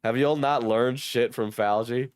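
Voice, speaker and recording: Hoarse, sick young man streamer, dry mic. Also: mid-range voice, fairly even intonation, a little slow, dry recording. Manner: joking, self-mocking, swears. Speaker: streamer reading chat. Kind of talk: casual livestream chat.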